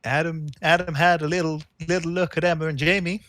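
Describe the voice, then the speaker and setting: A man's voice: fairly high pitch, little pitch movement, fairly quick, fairly bright tone, dry recording, studio quality. A man running a social deduction game as storyteller, putting on an American Southern accent with a playful, drawling manner. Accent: put-on American Southern accent. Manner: playful, drawling, trails off with fillers. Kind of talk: live-streamed game narration and banter.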